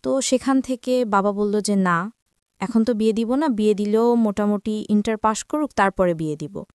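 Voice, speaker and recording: A woman's voice: mid-range voice, moderate pace, darkish tone, clean tone, dry recording, studio quality. A woman of twenty-three with a Bangladeshi Bengali accent, telling her personal life story as an interview guest.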